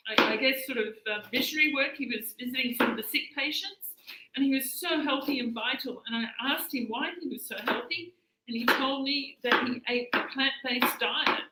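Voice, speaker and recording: A woman's voice: high-pitched, noisy background. An Australian woman in her late fifties, giving a live cooking demonstration in a chatty, hesitant way with many fillers. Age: 50s. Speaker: cooking instructor. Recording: echoey room.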